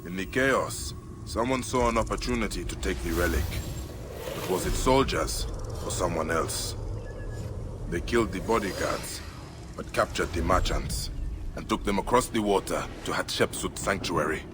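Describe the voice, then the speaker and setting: A man playing a stern, determined investigator in a video game, speaking tersely and deliberately. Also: deep voice, noisy background. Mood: brooding, analytical. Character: stern, determined male investigator hunting a relic thief. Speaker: game protagonist. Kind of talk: dramatic video game acting. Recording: music behind the voice. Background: cinematic game music.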